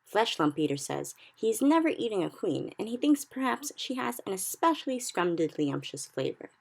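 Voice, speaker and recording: A woman voicing a big, friendly male giant in a storybook reading, who speaks slowly and mangles his grammar. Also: medium pitch, sing-song, vocal fry, pitched above the performer's own voice. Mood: sly. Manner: slow, odd jumbled grammar.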